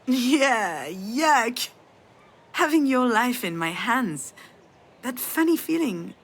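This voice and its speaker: A woman's voice: a little high, very animated, slow pace, slightly rough, exaggerated voice. A woman playing a damaged, grieving mother in a narrative video game, confiding and hesitant as she reflects. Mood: gentle, storytelling.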